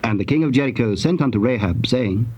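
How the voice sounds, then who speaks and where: Casting: male Bible narrator, mid-pitched, husky, measured and solemn, slightly dull tape sound. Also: expressive, warm tone. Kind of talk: scripted scripture narration.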